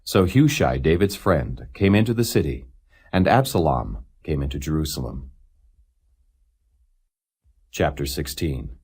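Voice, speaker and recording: Low, husky man reading scripture. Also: animated, a little slow, pauses often, darkish tone. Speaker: Bible reader. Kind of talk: non-dramatized scripture narration.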